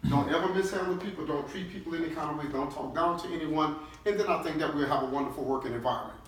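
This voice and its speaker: A man's voice: a little high, fast talker. A middle-aged man, a chief executive, giving an emphatic motivational talk to his staff, often checking in with short questions. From New Orleans, United States. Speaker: CEO leading a team-building session. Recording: echoey room, distant mic, faint noise.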